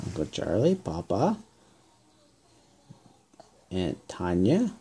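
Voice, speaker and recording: A parent talking in a small room at home, with a mellow voice that rises and falls a great deal. The pace is slow and patient, and the speaker asks simple questions and repeats the child's answers back. The talk is close to a handheld camera. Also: deep voice, wildly swooping pitch, slightly rough. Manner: gentle, asks simple questions, echoes the child's words, laughs softly. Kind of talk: home video, casual talk with a toddler.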